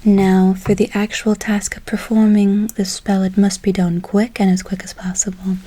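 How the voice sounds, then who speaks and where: A woman playing a gentle, doting witch in a whispered ASMR role play, slow and soothing with a breathy voice, repeating words softly. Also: medium pitch, little pitch movement, slight background noise, natural voice. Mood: soothing, encouraging. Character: gentle doting forest witch casting a spell. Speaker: ASMR performer.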